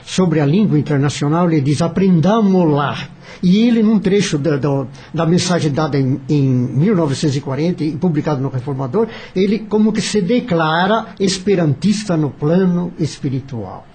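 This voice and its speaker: A Brazilian man speaking off the cuff in a radio discussion, halting and repeating himself as he searches for words. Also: a little high, lively intonation, warm tone, husky.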